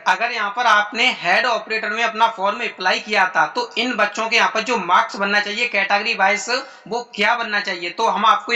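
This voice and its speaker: A man's voice: high voice, pushed and bright. A man giving an informal explainer to students as an exam-prep presenter, in repetitive, formulaic phrasing.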